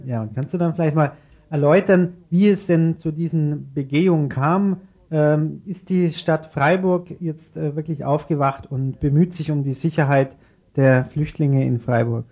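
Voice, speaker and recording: A man with a fairly high voice presents as a radio interviewer. He reads scripted passages and puts informal questions, pausing now and then as he searches for wording. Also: lo-fi sound. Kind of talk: news interview, partly scripted and read.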